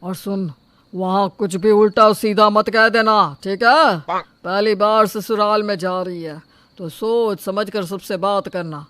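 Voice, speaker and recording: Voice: mid-range voice, fairly even intonation, slow, a bit bright, no room sound. An actor playing a reassuring, indulgent middle-aged father in an audio story, placating and advising. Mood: cautioning. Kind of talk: dramatised Hindi story acting.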